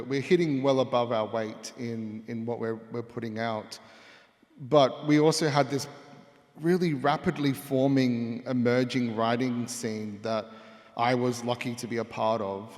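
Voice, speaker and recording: A man speaks on a panel in a loud voice at a middle pitch. He holds a handheld mic, and his words ring with the long reverb of a large hall. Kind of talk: panel discussion.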